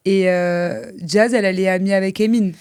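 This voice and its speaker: A woman's voice: mid-range voice, fairly even intonation, fairly quick, a little mellow, clear, resonant tone. Woman podcast host asking questions. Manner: friendly, probing questions. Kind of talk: casual interview.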